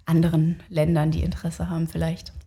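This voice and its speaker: A woman with a slightly low voice talks in an interview. She explains things informally, as if thinking aloud. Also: monotone, a little fast, dark tone. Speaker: interview guest, researcher. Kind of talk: conversational interview answers.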